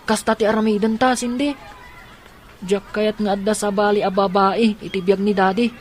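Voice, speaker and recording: A woman's voice: mid-range voice, fairly even intonation, moderate pace, a little mellow, some chatter behind, slight background noise, natural voice. A woman playing a determined young girl in a radio drama, an older sister who talks in an eager, insistent way. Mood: conspiratorial.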